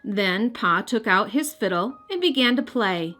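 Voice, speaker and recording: A woman's voice: mid-range voice, lively intonation, measured pace. A woman hosting and narrating a children's read-aloud, speaking expressively and directly to young listeners. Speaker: host and storybook reader. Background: music.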